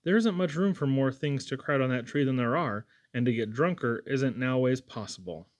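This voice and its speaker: A man's voice: medium pitch, animated, moderate pace, lightly acted. A man plays an earnest young Irish lad from a storybook. He talks in a folksy, wordy way, full of simple wonder at what he has seen.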